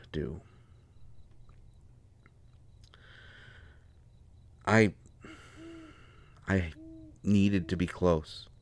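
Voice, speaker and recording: A man playing a proud, hurt, lovestruck healer in an intimate audio roleplay, speaking earnestly and haltingly with deep feeling. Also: no room sound. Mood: tender confession. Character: proud, wounded, lovelorn male healer with a limp.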